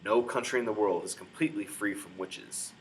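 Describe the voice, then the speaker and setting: Guy with a lowish voice doing a dramatic kids book read-aloud, noticeable background noise. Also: fairly quick, low-quality recording. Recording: clear background noise, room ambience.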